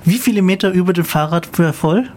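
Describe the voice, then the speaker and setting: A man's voice: sits high, fairly quick, a little mellow. A man co-hosting a live radio talk show from a studio, chatting casually and asking curious follow-up questions.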